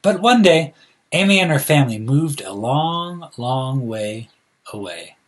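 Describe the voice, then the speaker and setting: A man reading aloud to children in an expressive, deliberate way, addressing them directly like a school counselor. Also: mid-range voice.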